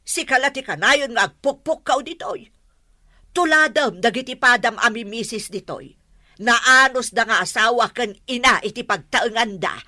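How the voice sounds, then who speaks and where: A woman's voice: fairly high pitch, moderate pace, pushed and bright, no room sound, clean studio sound. A woman playing a stern, moralizing older mother-in-law who scolds and lectures in a radio melodrama, with an Ilocano accent. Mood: reproachful. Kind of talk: melodramatic radio drama acting.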